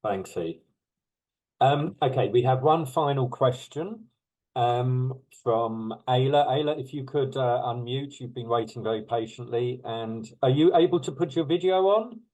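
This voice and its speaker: A man's voice: medium pitch, measured pace, darkish tone, rough recording. A man hosting a webinar on a video call, politely bringing in the next questioner with hesitant fillers. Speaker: webinar host. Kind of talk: moderating questions.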